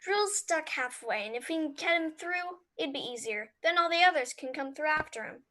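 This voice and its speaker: A woman's voice: high voice, expressive, normal speed, bright, forceful tone. A female actor playing a busy, secretive little girl in a radio play, giving brief, evasive answers. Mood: evasive, preoccupied.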